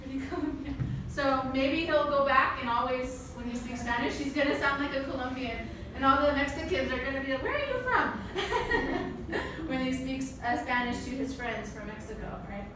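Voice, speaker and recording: A woman's voice: high voice, lively intonation, normal speed. A woman teaching a class, asking her listeners questions and checking with them often. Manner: asks the audience questions and checks with "right?". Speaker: teacher giving a talk to language students. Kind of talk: interactive classroom talk. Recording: echoey room, distant mic, noise.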